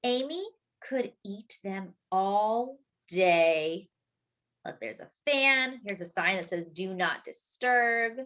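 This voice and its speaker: A woman's voice: mid-range voice, expressive, slow pace, bright, forceful tone. A woman hosting story time, reading a picture book expressively for young children, stretching key words and adding simple explanatory asides.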